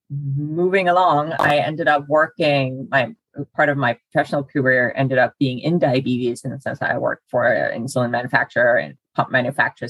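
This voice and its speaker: Woman in her 40s, low husky voice, dry close sound, chatty and organized. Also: no room sound, low-quality recording. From Denmark. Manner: structured and chatty, laughs now and then. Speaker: guest, personal trainer. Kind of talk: podcast interview.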